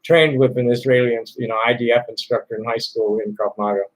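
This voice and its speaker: A man hosting a live call-in stream, rambling in a halting way with many fillers and restarts. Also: medium pitch, fairly even intonation, low-quality recording. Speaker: livestream host answering chat questions. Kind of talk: rambling casual chat. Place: Tucson, Arizona.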